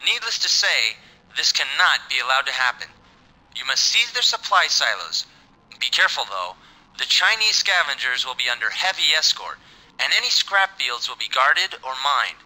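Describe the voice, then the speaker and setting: An actor playing a brisk, commanding military officer giving emphatic orders in a sci-fi game briefing. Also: male voice, mid-range voice, big pitch swings, pushed and bright, poor audio. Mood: urgent, commanding.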